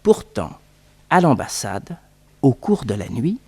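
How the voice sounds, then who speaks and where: An actor playing a genial detective's nephew, narrating a 1960s French radio serial with wry, theatrical flourish. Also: male voice, medium pitch, very animated, slow, slightly rough, heavily acted. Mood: wry, lively. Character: genial young detective's nephew recounting adventures.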